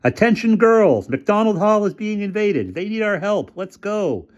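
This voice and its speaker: A man's voice: high-pitched, sing-song, unhurried, highs cut off, voice pushed up. A man voicing a bold teenage schoolgirl rallying her classmates over a PA.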